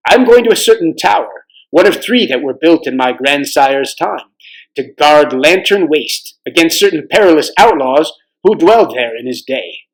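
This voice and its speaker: A man's voice: on the high side, lively intonation, normal speed, rough recording. A man voicing a noble, earnest fantasy king with grand, courtly, archaic speech. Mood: solemn, resolute.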